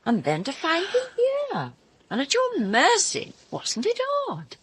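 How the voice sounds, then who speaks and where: An actress playing an imperious, theatrical stage-star wife in a radio drama, commanding and pointed beneath sweet endearments. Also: female voice, sits high, huge pitch swings, takes it slowly, no room sound, full character voice. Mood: needling.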